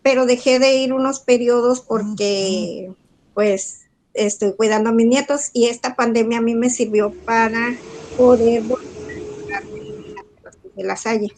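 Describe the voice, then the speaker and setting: A woman's voice: fairly high pitch, fairly even intonation, a little slow, darkish tone, a little hiss or hum, rough recording. Older woman from Guadalajara, chatty student intro over video call. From Guadalajara, Mexico. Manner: chatty, rambling. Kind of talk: self-introduction in online class.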